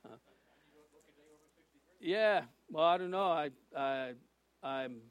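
An older man preaching in a relaxed, rambling way, with asides and little jokes. His voice sits high for a man. The sound is close and dry, with no room echo. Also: very slow, clear, resonant tone, dull audio. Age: 60s. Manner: folksy, rambling, with jokes and restarts. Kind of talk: informal sermon.

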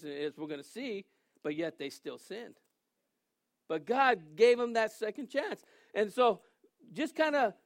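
A man in his sixties preaching a sermon in a joking, conversational way, reading scripture aloud slowly between asides. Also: sits high, no echo, studio quality. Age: 60s. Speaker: pastor.

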